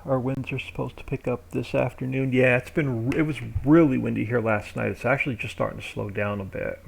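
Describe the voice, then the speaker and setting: A man's voice: medium pitch, fairly quick, vocal fry, slightly rough. A man live-streaming, casually thinking aloud as he works through a game map build. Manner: thinks aloud while working, relaxed and rambling.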